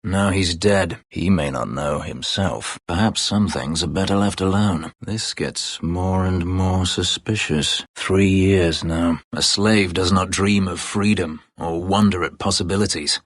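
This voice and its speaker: An escaped slave turned elven warrior from a fantasy video game. The voice is very low and raspy, recorded close and dry. He speaks in terse, formal phrases, guarded and bitter, with a sardonic edge. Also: unhurried, few pauses, no room sound. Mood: bitter, haunted. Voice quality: low, husky, gravelly. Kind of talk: video game character dialogue.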